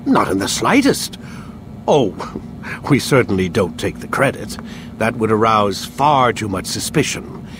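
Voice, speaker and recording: A man's voice: lowish voice, sing-song, measured pace. A man playing a smug, condescending older scientist in a video game, lecturing articulately. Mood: smug, secretive. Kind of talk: video game cutscene acting. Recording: noisy game scene with ambient hum.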